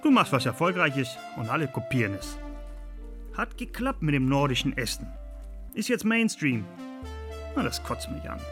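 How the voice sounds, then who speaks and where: A man's voice: a little high, sing-song, normal speed, pauses often, over music. A man, a chef, gives informal first-person commentary in blunt, terse sentences.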